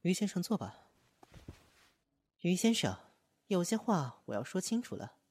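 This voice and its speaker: An actress playing a proud, aloof opera performer and strict master in a radio drama, with a cold, curt, haughty way of talking. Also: female voice, on the low side, sing-song, unhurried, darkish tone, slightly rough, full character voice.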